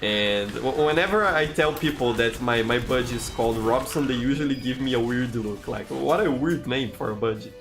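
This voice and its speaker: A man's voice: mid-range voice, normal speed, few pauses, a bit bright, soft background music. A man from Brazil with a Brazilian Portuguese accent, a game streamer chatting casually and reading chat aloud, friendly, with stammered restarts. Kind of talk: casual live-stream chat while playing.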